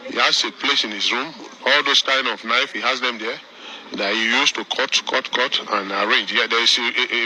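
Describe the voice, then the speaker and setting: Grieving uncle giving a press statement; bright, halting, repetitive man, faint background noise. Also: mid-range voice, poor audio. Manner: repetitive, halting, with many restarts. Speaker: victim's uncle.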